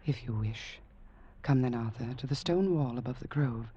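A woman's voice: extremely low pitch, normal speed, mellow. An actress playing a seductive, commanding witch lover who can turn into a cat, intense and imploring in a 1940s radio drama. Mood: hushed, beckoning. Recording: dull, noisy 1940s radio recording.

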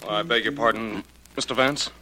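An actor playing a desperate, pleading husband in a 1940s radio drama, urgent and stammering. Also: male voice, lowish voice, fairly even intonation, moderate pace, bright, forceful tone. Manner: urgent, stammering, repeating himself. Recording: noisy 1940s radio recording.

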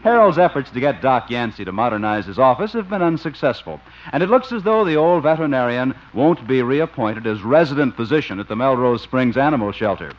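Animated male 1950s radio announcer. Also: mid-range voice, fairly quick. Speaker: announcer and narrator. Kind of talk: 1950s radio scripted narration. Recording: muffled 1950s radio recording.

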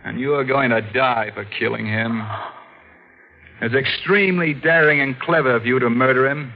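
Actor as grave district attorney in radio mystery: accusing, measured, mid-pitched male, dull vintage broadcast. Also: natural voice. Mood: grave accusation. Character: stern, probing district attorney exposing a killer. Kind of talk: 1940s radio mystery acting.

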